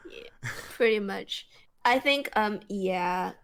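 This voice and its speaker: A woman's voice: medium pitch, takes it slowly, vocal fry, fairly bright tone, clear, resonant tone. A woman co-hosting a casual podcast, talking hesitantly with many fillers and restarts and asking curious follow-up questions. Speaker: podcast co-host. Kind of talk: casual chat.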